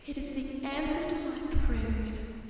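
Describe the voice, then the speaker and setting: Actress doing serene prayerful young woman, audio play, slow, huge church echo. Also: high-pitched, dark tone, slight background noise. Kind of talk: audio drama acting. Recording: echoey church-like hall, distant.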